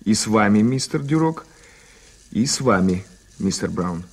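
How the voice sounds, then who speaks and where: Husky, eager newspaperman. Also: lowish voice, lively intonation, a little slow, full character voice. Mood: eager, curious. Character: eager, curious male newspaper journalist, playfully dramatic. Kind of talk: early 1980s Soviet radio play acting.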